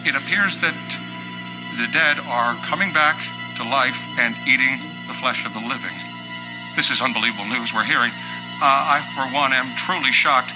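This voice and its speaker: An actor playing a shaken American TV newscaster in a video game, delivering an emergency bulletin in a formal, halting, grave manner. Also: male voice, mid-range voice, natural voice, low-quality recording. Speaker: news anchor. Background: loud music.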